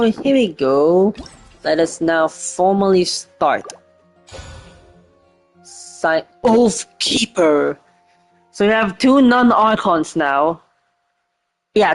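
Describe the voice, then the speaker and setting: Guy streaming a game, rambly n unsure, swears casually, bit of game music in back. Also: sits high. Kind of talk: casual gameplay commentary. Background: faint game music.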